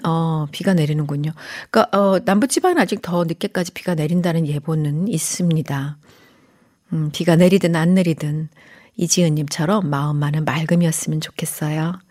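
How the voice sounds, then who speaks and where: A woman hosting a morning radio show, chatting gently with listeners, reading their messages and sometimes dropping to a whisper. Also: sits low. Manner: soft, gentle and chatty, often drops to a whisper and laughs lightly. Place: radio studio.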